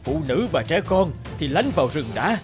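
A man voicing a solemn old male village elder in a cartoon, speaking ceremonially over loud music. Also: fairly high pitch, big pitch swings, moderate pace. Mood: alarmed. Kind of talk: cartoon voice acting.

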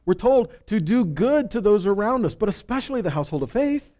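A man's voice: high voice, very animated, a little fast, dark tone. A man in his thirties preaching a sermon on a small indoor stage through a headset mic. He gestures as he talks and reads scripture aloud. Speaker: pastor. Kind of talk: sermon teaching.

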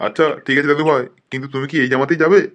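A man's voice: mid-range voice, fairly even intonation, rapid-fire, fairly bright tone, muffled recording, natural voice. A man voicing a homely old grandfather in a comic cartoon, fussing and insistent. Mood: fond, fussing. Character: nagging, protective, uneducated old grandfather. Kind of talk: comic cartoon dubbing in colloquial Bengali.

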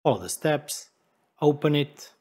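Slightly husky man, lively explanatory delivery. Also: on the high side, unhurried. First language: not English. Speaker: tech YouTube host. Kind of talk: tutorial narration to camera.

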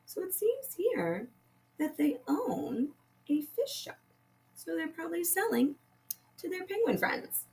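A woman's voice: very high-pitched, big pitch swings, measured pace, darkish tone. A woman teaching young children, patiently explaining step by step and reading aloud like a primary school teacher. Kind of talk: children's lesson and picture book read-aloud.

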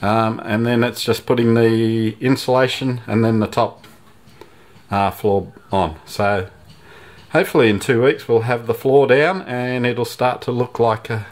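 A middle-aged man giving a casual walkthrough to camera, often pausing mid-sentence to find his words. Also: mid-range voice, steady tone. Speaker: DIY bus-conversion vlogger. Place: outdoors in a stripped vehicle body. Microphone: handheld camera, operator behind it.

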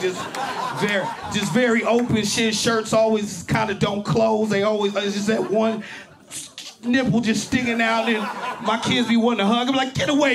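A man in his forties from Berkeley, California, doing a profane, animated stand-up set on a club stage with a handheld mic. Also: high-pitched. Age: 40s. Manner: loud, animated, profane punchlines with shouted peaks. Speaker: stand-up comedian. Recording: live club with crowd laughter. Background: audience laughter.

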